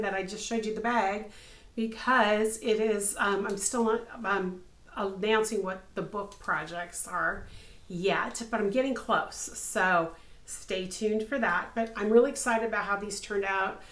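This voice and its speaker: A woman in her fifties, slightly hoarse, hosting a casual craft podcast from her home craft room, upbeat and full of ums. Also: medium pitch, steady tone, unhurried, a bit bright. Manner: chatty and upbeat, many ums. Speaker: podcast host, crochet designer. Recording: echoey room.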